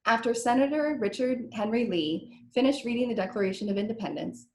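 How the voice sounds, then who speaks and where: A woman's voice: fairly high pitch, fairly quick, a little mellow, slight background noise. Woman reading a scripted introduction, echoey room. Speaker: rare books curator introducing a reading. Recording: roomy, echoing sound.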